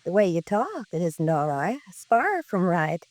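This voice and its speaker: An actress playing an anxious, plain-spoken middle-aged housewife in a 1950s radio police drama. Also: female voice, fairly low pitch, very animated, normal speed, darkish tone, no room sound. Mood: worried, insistent. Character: small middle-aged housewife, anxious about her missing husband.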